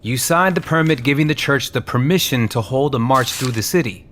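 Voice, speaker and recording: An actor playing a cautioning, level-headed police chief in an audio drama, measured and respectfully firm. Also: male voice, mid-range voice, fairly bright tone, a little hiss or hum, natural voice. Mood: firm, concerned. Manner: measured, pushing back respectfully.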